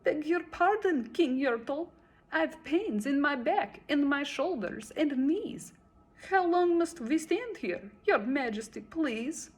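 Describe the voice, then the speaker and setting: A woman's voice: high voice, animated, takes it slowly, a little mellow, pitched above the performer's own voice. A woman voicing a meek little male turtle in a storybook read-aloud, politely pleading and groaning in complaint.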